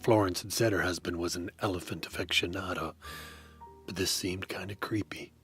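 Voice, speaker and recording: Low-voiced man, dry deadpan noir narration, soft music behind. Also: normal speed. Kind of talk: hardboiled detective noir narration.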